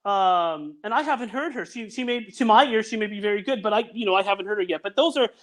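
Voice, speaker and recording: A man's voice: sits high, quick pace, a bit bright. A man who produces audio dramas chats casually on a call, eagerly directing his host through clips. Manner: eager, directive, talks over others. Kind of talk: casual video-call chat.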